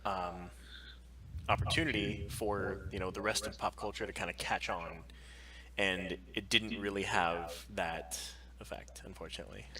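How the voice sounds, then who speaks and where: Male podcast co-host, lowish bright voice, halting casual chat, a bit off-mic. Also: steady tone. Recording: distant from the microphone.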